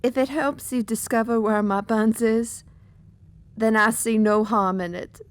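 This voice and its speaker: A woman's voice: a little high, steady tone, a little slow, lightly acted. A woman voicing a plain-spoken, accommodating adult mare in an audio drama. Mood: matter-of-fact.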